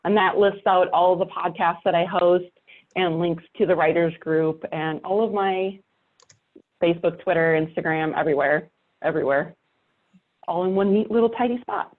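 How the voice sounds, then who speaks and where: A woman's voice: fairly low pitch, steady tone, moderate pace, phone-quality sound. A woman speaking casually as a guest in a podcast interview.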